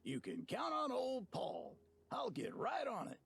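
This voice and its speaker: A grateful male settler from a post-apocalyptic game. He sounds high-pitched, heavily gravelly and raspy, with a folksy, earnest way of talking. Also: wildly swooping pitch, unhurried, fairly bright tone, full character voice. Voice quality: gravelly, rough.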